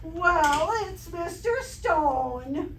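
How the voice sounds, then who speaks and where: A woman playing a wry, quick-witted female schoolteacher in an old-time radio comedy performed on stage. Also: very high-pitched, fairly bright tone, full character voice. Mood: polite, wry. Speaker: amateur stage actor. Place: live stage performance in a hall. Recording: echoey hall, distant mic, faint noise.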